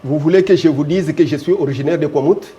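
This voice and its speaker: Insistent man, high mellow voice. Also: muffled recording. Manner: measured, insistent, repeats key phrases for emphasis. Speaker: local notable, guest. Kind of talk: TV talk show interview.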